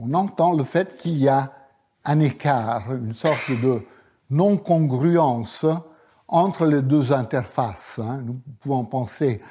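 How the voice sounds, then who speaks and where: A male professor whose first language is Italian, giving a university lecture at a measured pace with frequent hesitations and fillers. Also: mid-range voice, warm tone, slightly rough. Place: lecture hall.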